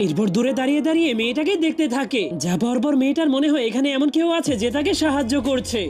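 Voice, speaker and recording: A man reading scripted comic story narration over cartoon clips, as the narrator. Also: very high-pitched.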